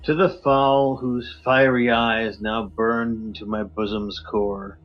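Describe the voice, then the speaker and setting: A man guesting on a podcast, reading a poem in a measured, low dramatic delivery over a video call. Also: fairly even intonation, lo-fi sound.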